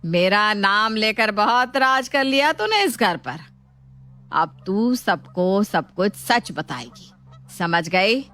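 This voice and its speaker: A woman's voice: medium pitch, lively intonation, slow pace, bright, forceful tone. A woman playing an imperious, scolding old mother-in-law in an acted moral story. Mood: menacing, stern. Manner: commanding, scolding.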